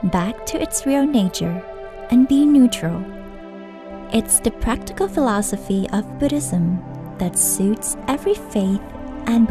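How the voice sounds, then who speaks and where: Woman reading a calm meditation intro, slow and steady, new-age music loud under her. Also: mid-range voice. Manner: calm, measured and instructive. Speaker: narrator of program introduction. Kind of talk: scripted narration.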